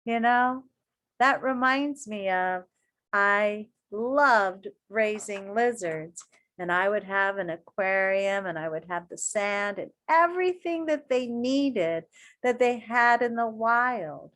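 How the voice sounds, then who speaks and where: A woman reading a children's story aloud like an elementary teacher, expressive and deliberate, pausing to put questions and encouraging remarks directly to young listeners. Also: mid-range voice.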